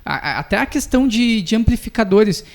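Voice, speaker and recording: A man's voice: high voice, brisk, gravelly. A young man, chatting casually and slangily as a podcast co-host. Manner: relaxed, slangy, uses tu and tá ligado. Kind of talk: casual chat.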